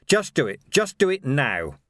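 A man voicing a weary, dogged city watch commander in a storybook reading, with a growling, croaky edge and blunt, clipped orders. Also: medium pitch, huge pitch swings, normal speed, a bit bright, no room sound, studio quality. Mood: urgent.

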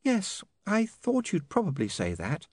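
Man voicing a high-pitched, prim young woman. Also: huge pitch swings, moderate pace, darkish tone, dry recording. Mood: wistful. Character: young woman reporter, prim, dreamy, suddenly ferocious.

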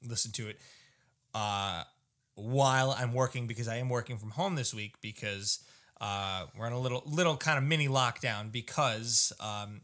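A middle-aged man co-hosting a casual podcast chat, mock-grumpy and teasing, often trailing off quietly. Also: medium pitch, moderate pace. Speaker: podcast co-host.

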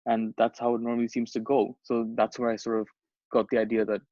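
A man's voice: medium pitch, monotone, fairly quick, dark tone, no echo, lo-fi sound. A young man from Bangladesh co-hosting a podcast, telling a story in a rambling, casual way with lots of restarts and fillers. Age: young adult. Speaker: podcast co-host. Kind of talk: casual chat.

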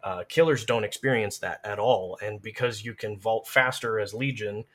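A man's voice: on the low side, normal speed, fairly bright tone. A man chatting casually as a guest, explaining video game play in detailed, analytical fashion. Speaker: podcast guest, gamer.

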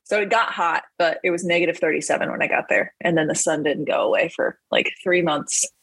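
A woman from Ohio, a podcast guest, chatting casually in a loud, blunt, joking way. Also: fairly low pitch, fairly quick, slightly rough, dry recording. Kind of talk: casual chat.

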